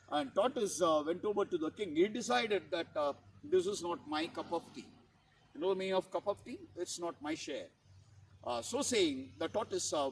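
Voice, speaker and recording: In a very reverberant hall, some way from the mic, a man tells a children's story. His voice is high and lively, and he moves slowly, repeating and rephrasing for young listeners. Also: a little hiss or hum. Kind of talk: storytelling for children, explaining hard words. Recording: very echoey hall, distant mic.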